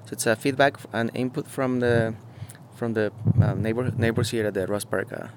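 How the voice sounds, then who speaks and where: A man from Mexico, talking casually outdoors in an on-site interview, halting and searching for words with many fillers. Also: mid-range voice, steady tone, very noisy. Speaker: muralist interviewee. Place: outdoors beside a mural.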